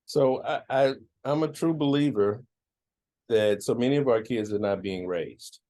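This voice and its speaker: A man interviewing a guest, asking measured questions and often affirming with a quiet "right.". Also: mid-range voice.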